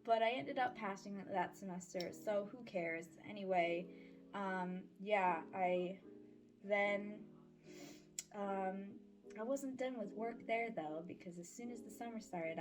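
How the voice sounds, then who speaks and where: A woman in her twenties chatting casually in a life-update vlog, candid and slangy with playful sarcasm. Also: medium pitch. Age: 20s. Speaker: vlogger and voice-acting audio creator. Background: background music.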